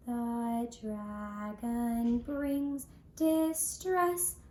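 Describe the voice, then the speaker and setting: A woman voicing an earnest young farmer's son who pleads in drawn-out, half-sung verse for a puppet show. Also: a little high, steady tone, mellow. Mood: pleading, earnest. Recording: roomy, echoey sound.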